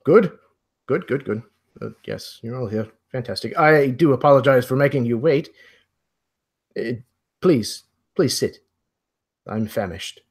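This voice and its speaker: A man playing an aging, courteous host of a mansion in a tabletop game, speaking in a halting, apologetic and formally polite way. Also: medium pitch, animated, slightly rough. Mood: eager, apologetic. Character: aging, courteous, flustered old mansion host in plaid suit. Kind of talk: improvised roleplay dialogue.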